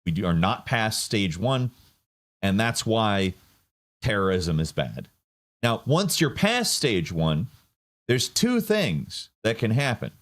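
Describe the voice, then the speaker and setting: A man's voice: lowish voice, sing-song, slow. A man giving casual political commentary, sardonic and rambling. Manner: sardonic, rambling with fillers and restarts.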